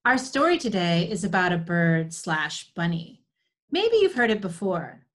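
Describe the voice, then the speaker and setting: A woman's voice: fairly low pitch, big pitch swings, unhurried. A woman in her forties giving scripted storytelling for children, recorded over a video call from a room at home. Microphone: video call computer mic.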